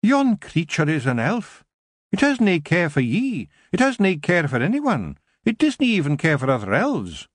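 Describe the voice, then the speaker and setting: A tiny, fierce Scottish fairy matriarch talks high and loud, as a man voices her. She is blunt and gruff, rolling out broad dialect. The sound is dry and close. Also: huge pitch swings, normal speed, dry recording.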